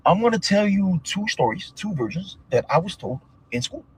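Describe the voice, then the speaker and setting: A man from Samoa explaining stories to camera in a lively way, often adding "right?" as he talks. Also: high voice, normal speed, a little hiss or hum, rough recording. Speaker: video creator.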